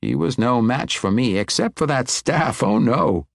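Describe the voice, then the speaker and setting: An excitable old wizard from a fantasy storybook , acted out by a male narrator. He is kindly and eccentric, rambling in exclamations, his raised voice leaping up and down in a dry, close recording. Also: medium pitch, a little slow, vocal fry, dry recording, voice pushed up. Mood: boastful.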